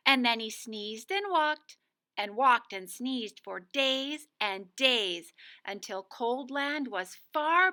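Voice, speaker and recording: A woman's voice: a little high, sharp tone. A woman co-reading a storybook aloud as a guest narrator for young children.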